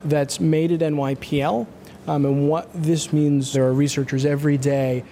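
A man with a somewhat high but mellow voice answers interview questions haltingly, often stopping with fillers. Faint ambient noise sits behind him. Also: level tone. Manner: hesitant, thinking aloud. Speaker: library visitor services staff.

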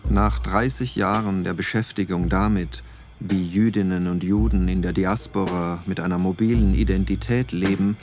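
An actor playing a reflective male essayist, narrating in a measured, solemn way for a radio play. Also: low voice, fairly even intonation, a little mellow, faint music behind.

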